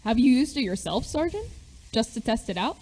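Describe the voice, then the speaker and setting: An eager, polite young lad played by a woman in an amateur radio drama. The voice is husky and highish, bouncing up and down in a keen, questioning way. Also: big pitch swings, fairly quick, mellow. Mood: intrigued. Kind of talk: amateur radio play acting.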